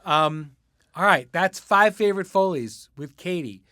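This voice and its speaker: A man's voice: high-pitched, animated, unhurried, pushed and bright, no echo, studio quality. A man hosting a casual podcast interview, informal and joking in the way he talks.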